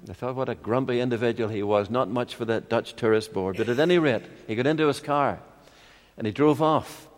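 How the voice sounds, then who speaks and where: An older man with a Northern Irish accent preaching a sermon in a measured, expository way, with rhetorical questions and personal anecdotes. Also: medium pitch. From Ireland. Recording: long hall reverb.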